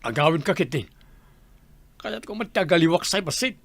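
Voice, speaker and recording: A man's voice: on the high side, lively intonation, moderate pace, fairly bright tone, dry recording. A man playing a callous, irritable husband in a radio drama, curt, scornful and scolding. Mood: scornful, angry.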